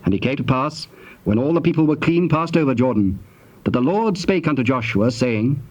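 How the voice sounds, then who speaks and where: A man with a British accent narrating scripture in a solemn, formal, deliberate way. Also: medium pitch, lively intonation, darkish tone. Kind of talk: scripted dramatic Bible narration. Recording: dull, noisy old cassette tape.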